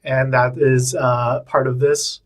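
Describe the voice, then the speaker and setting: A young man hosting a casual podcast, self-deprecating and halting, with a slight stammer, recorded in a small booth. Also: mid-range voice, little pitch movement. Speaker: podcast host.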